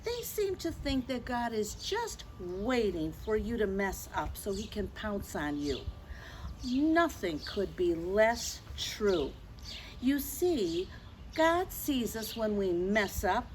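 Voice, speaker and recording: A woman reads and talks to young children in a story-time style. Her pace is very slow and deliberate, her pitch sits in the middle, and her delivery is expressive. There is faint background noise in the recording. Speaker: children's storyteller and religious educator. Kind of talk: children's story time, read-aloud and simple faith talk.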